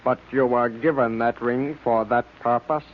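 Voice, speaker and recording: A man's voice: mid-range voice, steady tone, normal speed, lightly acted. An actor playing a formal, insistent male agent of a secret cult, with stilted phrasing in 1940s radio style. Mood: suspicious, pressing. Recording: tinny narrowband old radio recording.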